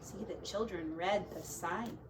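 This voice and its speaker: A woman's voice: on the low side, measured pace. A woman teacher reading a picture book aloud to children in a sing-song, dramatic way. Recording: distant mic, faint background noise.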